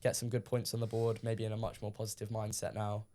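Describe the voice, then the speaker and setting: Teenage man, from the UK, slightly croaky voice, casual podcast chat, lots of ums. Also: lowish voice, fast talker. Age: teens. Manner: relaxed, many ums, recounting matches. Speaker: guest player.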